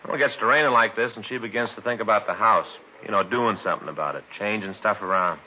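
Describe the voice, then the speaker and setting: A man's voice: lowish voice, quick pace, narrow, tinny audio, natural voice. An American man playing a chatty married police detective in a 1950s radio drama, folksy, conversational and mildly grumbling. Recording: thin, lightly noisy 1950s radio recording.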